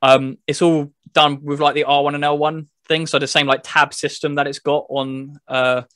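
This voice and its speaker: A man's voice: fairly high pitch, little pitch movement, moderate pace, a bit bright, no room sound, clean studio sound. A man hosting a podcast, explaining things in a casual gaming chat in loose, everyday wording. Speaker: podcast host reviewing a video game.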